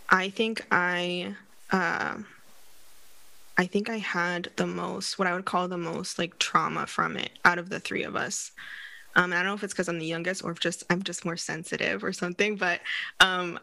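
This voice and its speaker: A woman talks as an interview guest in a casual, reflective way. Her voice is bright and forward, with plenty of vocal fry. The sound is close and dry, as in a candid one-to-one conversation. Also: on the low side, no room sound.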